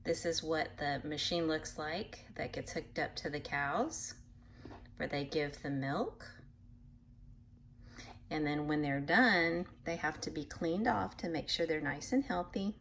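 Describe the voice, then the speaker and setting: A woman reading a picture book aloud to children in an animated way, pausing to explain things patiently in simple words. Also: sits low, slight background noise. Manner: animated, acts out animal sounds, addresses young listeners. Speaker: read-aloud storyteller for children.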